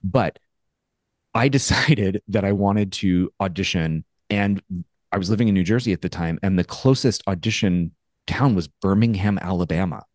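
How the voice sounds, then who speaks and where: Man, 40s, low-pitched, dry close studio mic, animated casual storyteller, video call podcast. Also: normal speed, dry recording. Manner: animated, self-mocking storyteller acting out his own thoughts. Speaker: podcast co-host. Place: home studio with brick wall. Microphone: headphones and studio mic on a video call.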